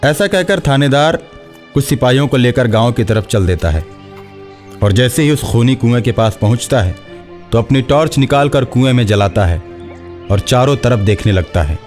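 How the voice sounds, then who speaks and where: A man's voice: medium pitch, a little mellow. A man narrating a scripted horror story.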